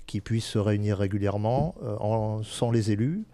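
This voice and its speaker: A man's voice: on the low side, moderate pace, dark tone. A man giving explanations as an interview guest, candid and often searching for his words. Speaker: senior local-government administrator, interview guest.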